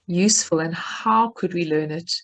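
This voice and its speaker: A woman's voice: fairly low pitch, normal speed. A woman moderating an online discussion on a video call, apologetic and encouraging as she moves the group along. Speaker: session moderator. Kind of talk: hosting an online dialogue.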